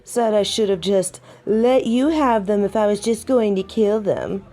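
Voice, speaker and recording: A woman's voice: medium pitch, animated, unhurried, dark tone, soft background music. A woman playing a proud, prickly, tipsy werewolf second-in-command in an audio roleplay drama, with a dry, sarcastic drawl. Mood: exasperated.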